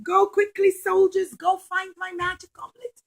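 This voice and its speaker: Woman playing a high-pitched, commanding young nobleman. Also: little pitch movement. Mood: alarmed, commanding. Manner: emphatic, drawn-out declarations. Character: commanding young nobleman testing his brothers, theatrical, scheming. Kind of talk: children's Bible storytelling.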